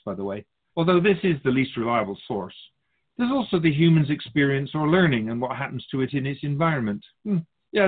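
An actor playing an otherworldly keeper of souls in a radio drama, patiently teaching humans in a measured, all-knowing way. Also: male voice, mid-range voice. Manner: didactic, measured explaining, speaks of humans as outsider. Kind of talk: scripted radio drama dialogue. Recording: dull phone-like narrow sound.

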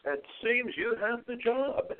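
Man acting a slow, proper older radio boss. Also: very high voice, lively intonation. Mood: stern, businesslike. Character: sharp-minded, proper older radio station manager. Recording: noisy, tinny phone-like line.

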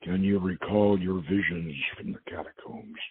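A man reading the part of a commanding adventurer archaeologist and hypnotist in an audio novel, husky and measured. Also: low-pitched, steady tone, slight background noise, natural voice. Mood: probing. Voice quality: gravelly, husky. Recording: dull, lo-fi audio.

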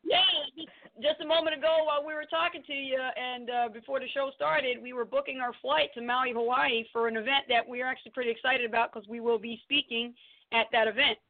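A woman's voice: high voice, steady tone, fairly quick. A woman from Trenton, New Jersey, a guest on a radio interview, chatting upbeat and laughing often. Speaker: guest, blogging consultant. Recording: tinny phone line.

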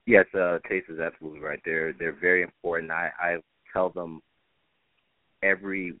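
A man's voice: low-pitched, flat delivery, moderate pace. A man being interviewed as a stage director, speaking conversationally and earnestly, repeating phrases for emphasis. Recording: tinny band-limited phone line, roomy echo.

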